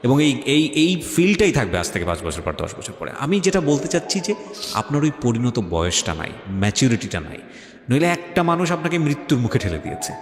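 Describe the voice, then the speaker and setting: A Bangladeshi man hosts a radio show, talking fast and pressing his points insistently as he advises a guest. He mixes in English phrases. His voice rings in a reverberant hall with soft music behind it. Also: mid-range voice, big pitch swings. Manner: emphatic, insistent, argumentative, code-switches into English. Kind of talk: talk show interview, advising. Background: faint background music.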